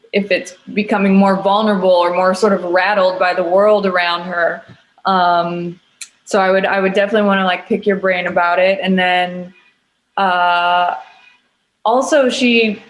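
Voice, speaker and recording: Mid-pitched woman, informal and loosely phrased. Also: echoey room, low-quality recording. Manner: informal, loosely phrased with many hedging words. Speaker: actor on a panel. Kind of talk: casual panel answer. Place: online video call.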